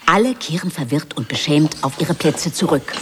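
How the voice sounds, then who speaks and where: A woman's voice: sits low, expressive, normal speed, over music. A woman reading stage directions as the narrator of a radio play in a dramatic scripted style.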